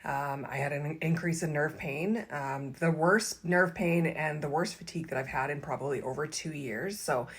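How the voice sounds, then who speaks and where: A woman's voice: low voice, normal speed, few pauses, breathy edge. A young woman vlogging casually about recovering from an illness, with lots of fillers and likes. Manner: chatty, many fillers and likes. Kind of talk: casual video diary.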